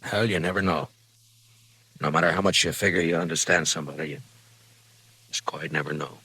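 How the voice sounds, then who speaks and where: Plainspoken old west lawman, deep voice, husky, dry close sound, vintage radio western. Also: fairly quick, pushed and bright, no room sound, exaggerated voice, low-quality recording. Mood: troubled. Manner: laconic, probing, plainspoken. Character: stern but conflicted, honest frontier lawman. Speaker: actor in a western radio drama. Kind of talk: 1950s radio drama acting.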